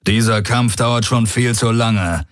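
A bold alien warrior lord played by a male narrator, pitched well above his plain voice in a dry studio sound. He is commanding and self-assured, with cool mockery, in science-fiction audiobook style. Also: measured pace, no echo, lightly acted. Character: proud, bold alien warrior warlord, coolly mocking. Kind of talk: audiobook character dialogue.

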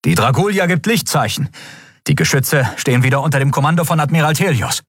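A man voicing brisk, alert military bridge officers who report urgently and formally in a science fantasy audiobook. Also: on the high side, animated, fairly bright tone, breathy edge, no room sound, studio quality, voice pushed up.

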